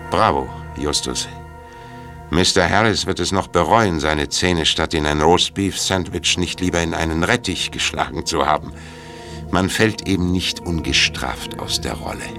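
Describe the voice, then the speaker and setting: A man's voice: low voice, normal speed, vocal fry, bright, forceful tone. A man narrating an audio drama in a scripted storytelling style. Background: music.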